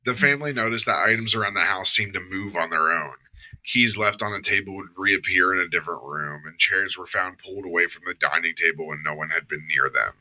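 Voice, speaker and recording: A Southern US man reading a story aloud on a podcast. His voice sits low and sounds sharp and very bright. He keeps a steady, measured pace. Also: slight background noise, low-quality recording.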